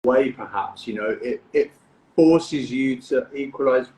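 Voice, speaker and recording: A man from the UK, a freediving coach, hosting a casual podcast interview in a relaxed, encouraging way, often repeating words as he starts a thought. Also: medium pitch, a little slow, roomy sound, slight background noise, low-quality recording. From United Kingdom.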